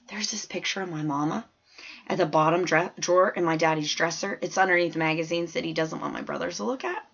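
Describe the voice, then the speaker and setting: A woman's voice: on the low side, vocal fry, a bit bright. Woman voicing a chatty fourth-grade girl storyteller, slightly dull audio. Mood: earnest, self-conscious. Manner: chatty, folksy, emphatic childlike storytelling. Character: plain-spoken, observant fourth-grade girl telling her story. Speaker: audiobook narrator. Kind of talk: scripted first-person story narration.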